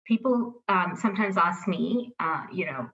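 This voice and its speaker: Soft-spoken Sri woman, slow and thoughtful. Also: lowish voice, animated, highs cut off. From Sri Lanka. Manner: slow and thoughtful, with many fillers. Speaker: team manager, guest. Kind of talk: workplace podcast conversation.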